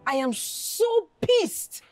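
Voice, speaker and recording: A woman's voice: on the high side, lively intonation, normal speed, soft background music, close to an everyday voice. An actress playing a principled, stubborn young working woman in a screen drama, with a Nigerian accent. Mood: indignant, angry. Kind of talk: naturalistic screen drama acting.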